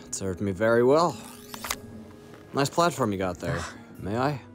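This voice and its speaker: A man's voice: medium pitch, big pitch swings, a little slow, faint music behind. A man playing a guarded, battle-hardened syndicate operative in an audio roleplay, speaking in a dry, clipped, matter-of-fact way. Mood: dry, confident. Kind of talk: dramatic audio roleplay acting.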